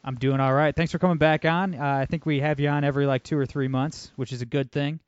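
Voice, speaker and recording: A man's voice: a little high, fairly even intonation, fast talker, clear, resonant tone, no echo, highs cut off. A man hosting a podcast in a casual, upbeat way, with lots of fillers. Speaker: podcast host.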